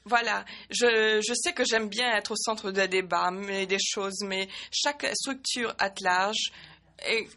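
A woman giving simultaneous interpretation at a meeting, speaking in halting bursts with frequent restarts and fillers. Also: medium pitch, bright, forceful tone. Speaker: conference interpreter.

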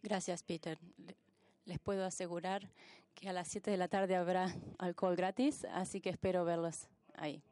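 A woman working as a simultaneous interpreter, rendering conference speeches live in halting pieces and correcting herself as she goes. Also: fairly low pitch, level tone, dark tone, no echo.

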